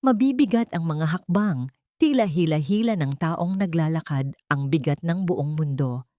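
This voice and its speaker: Low-pitched Filipino woman narrating drama. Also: expressive, normal speed, mellow, no echo. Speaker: story narrator. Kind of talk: dramatic scripted romance narration.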